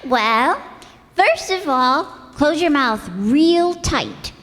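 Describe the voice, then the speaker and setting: A pesky little neighborhood girl speaks high and swoopy in a reverberant hall. She is precocious and teasing, in the broad childlike manner of old-time radio comedy. She takes her time over each phrase. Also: animated, drawn-out words, fairly bright tone, heavily acted. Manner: childish, teasing, repeating a cute catchphrase. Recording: echoey hall stage recording.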